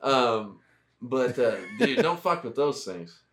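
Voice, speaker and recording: A man's voice: medium pitch, lively intonation, slow, a bit bright, slight background noise. A man with a Southern US accent telling war stories in a casual, profane drawl as a podcast guest, often laughing. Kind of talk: casual storytelling chat.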